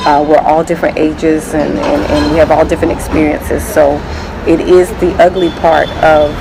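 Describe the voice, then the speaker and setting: An American woman talking in a casual vlog, earnest and halting, with many fillers and restarts. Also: low voice, level tone, vocal fry, background noise. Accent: American English. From United States.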